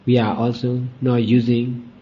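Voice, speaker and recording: A male Buddhist teacher gives guided meditation instruction in short, deliberate phrases with long pauses. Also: mid-range voice, little pitch movement, mellow, husky. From Myanmar. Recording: dull lo-fi recording.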